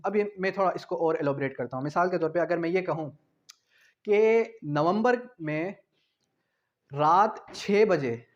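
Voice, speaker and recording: A man gives an explanatory lecture. He is didactic, repeating key phrases for emphasis and dropping in English terms. The recording is dull and lo-fi. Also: high voice. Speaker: law teacher.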